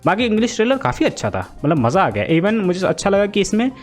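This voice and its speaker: A man's voice: high voice, sing-song, fast talker, soft background music. A young man thinking aloud about a film in a casual vlog, mixing English into Hindi with many fillers. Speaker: film vlogger. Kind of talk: casual Hinglish vlog commentary.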